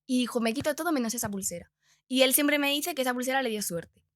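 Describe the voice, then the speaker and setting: A woman's voice: high-pitched, fast, fairly bright tone, no room sound, clean studio sound. A woman in her twenties with a Castilian Spanish accent, chatting informally and telling personal anecdotes as a podcast guest. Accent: Spanish (Spain). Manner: informal, colloquial. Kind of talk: casual chat, personal anecdotes.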